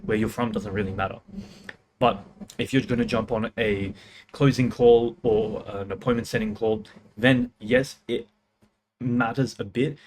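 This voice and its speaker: A man's voice: fairly low pitch, fairly even intonation, a little slow, a little mellow, a little hiss or hum. A young man giving casual, confident sales-career advice in a punchy, slangy way. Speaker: music producer and sales coach. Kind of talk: casual talking-head advice.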